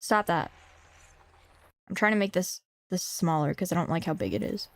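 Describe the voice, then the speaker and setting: A young woman streaming, talking casually to her chat in slang and reading game text aloud, a little hoarse from a cough. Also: lowish voice, moderate pace, dry recording. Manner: slangy, rambling, talks to chat.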